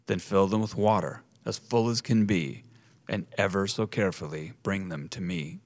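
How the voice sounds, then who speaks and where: Man playing a soft-spoken, unhurried son. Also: deep voice, no echo, close to an everyday voice. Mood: calmly instructing. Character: calm mild-mannered grown son.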